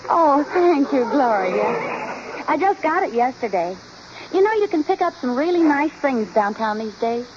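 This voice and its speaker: A muffled old radio recording with faint noise carries a determined suburban wife. Her high, very lively voice coaxes and teases drily in vintage domestic comedy style. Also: a little slow. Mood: coaxing. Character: genial but determined suburban housewife, gently teasing. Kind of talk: 1950s radio sitcom acting.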